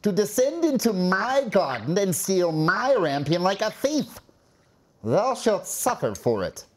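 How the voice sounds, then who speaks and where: Storybook enchantress, played by a male reader: menacing and imperious, slow drawn-out delivery, raised pitch. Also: very animated, full character voice. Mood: angry, threatening. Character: menacing, commanding fairy-tale enchantress, adult female.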